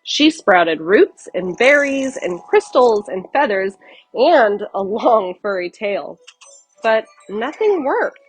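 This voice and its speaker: A woman narrates a children's storybook in a fairly high, very animated voice. She reads slowly and expressively, with a breathy edge and deliberate phrasing. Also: soft background music, low-quality recording.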